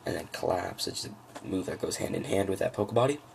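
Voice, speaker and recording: A man with a froggy, gravelly voice giving a casual, unscripted walkthrough of trading cards, halting as he thinks aloud. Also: deep voice, a little mellow, a little hiss or hum. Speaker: trading card hobbyist presenting a deck.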